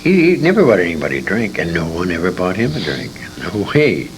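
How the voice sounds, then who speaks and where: Older guy telling old memories in an interview, really low voice, swings up and down a lot, bit of tape noise. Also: very animated, a little fast. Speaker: interview guest, former radio performer. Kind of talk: reminiscing in an interview. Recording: noisy amateur convention interview tape.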